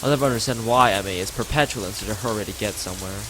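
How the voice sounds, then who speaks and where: A man's voice: fairly low pitch, very fast, background noise. A man streaming a visual novel, reading it aloud and chatting casually, often stumbling and rereading lines. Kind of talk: live game reading with casual chat.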